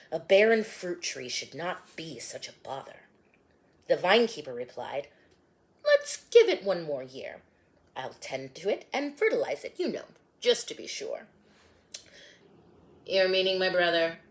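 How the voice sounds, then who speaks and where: Woman reading as a mild male teacher spinning rhymed parables, low-set voice that rises and falls a lot; storybook reading. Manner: rhyming, measured storytelling.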